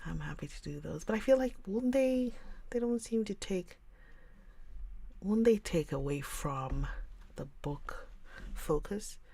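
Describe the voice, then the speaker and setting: A woman hosting a livestream, chatting casually with viewers in a rambling way and often dropping into a soft whisper. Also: mid-range voice, animated, measured pace, vocal fry, long pauses, breathy edge, no echo. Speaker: livestream host reading books. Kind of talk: casual chat with live viewers.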